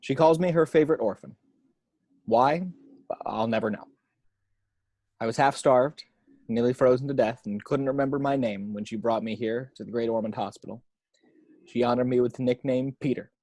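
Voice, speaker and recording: A man's voice: mid-range voice, moderate pace, pauses often, darkish tone, no echo, close to an everyday voice. A man playing a humble, wry married father giving an earnest tribute speech in a script table read.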